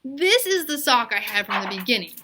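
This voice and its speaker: A woman voicing a chatty, excitable schoolboy who rambles emphatically in a children's storybook read-aloud. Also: on the high side, brisk, background noise, voice pushed up. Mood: excited.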